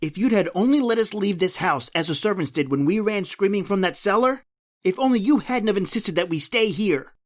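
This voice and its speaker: A man's voice: sits high, animated, a little fast. An actor playing an anxious, earnest young man in a radio horror drama. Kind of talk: old-time radio drama acting.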